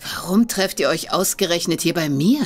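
A woman's voice: on the low side, animated, moderate pace, soft background music. An actress playing a worldly, teasing female brothel keeper in a radio drama.

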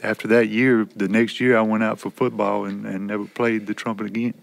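Low, creaky-voiced man. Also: little pitch movement, a little fast, no echo. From Alabama, United States. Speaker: prison poetry student. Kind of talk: personal storytelling in group discussion.

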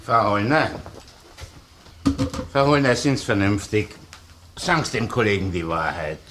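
Slow-talking Austrian policeman, noisy recording. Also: fairly low pitch, lively intonation. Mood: admonishing. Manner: admonishing, colloquial, unhurried. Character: patient male Austrian police colleague urging honesty. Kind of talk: German-language crime radio play acting.

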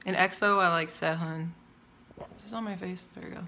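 A loud young woman talks straight into a phone camera at arm's length, rambling from one thing to the next and cutting herself off mid-thought. Her voice is low-pitched for a woman yet bright and forceful, and it projects easily. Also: fairly even intonation, fairly quick. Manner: chatty, wandering, self-interrupting. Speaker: vlogger. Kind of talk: casual rambling rant. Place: indoors by large windows, home room.

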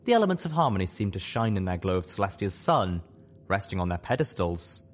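A man's voice: low-pitched, sing-song, fairly quick. A man reading scripted first-person fiction as an audiobook narrator.

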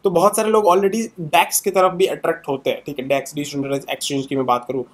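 Young man, fairly high voice, casual chart walkthrough, frequent filler tags, webcam at a desk. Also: flat delivery, fast talker. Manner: conversational, fillers and tags after many sentences. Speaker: crypto channel host. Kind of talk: casual screen-share market analysis.

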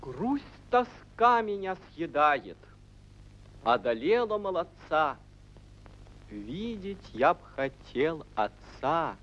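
A young fairy-tale prince, earnest and wistful, in a high voice with lively swings. He declaims verse slowly, as in a 1940s cartoon soundtrack. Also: a little hiss or hum, exaggerated voice, poor audio. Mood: sorrowful. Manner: measured, declamatory verse delivery. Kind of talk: 1940s Soviet animated film, verse declamation. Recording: old 1940s film soundtrack, faint music.

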